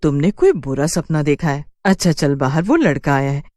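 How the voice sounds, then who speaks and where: A woman's voice: low voice, big pitch swings, moderate pace, mellow, no echo, studio quality. A woman voicing an anxious, protective middle-aged mother in a horror cartoon, with a fretful, urgent manner. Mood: dismissive, soothing. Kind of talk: Hindi horror cartoon dubbing.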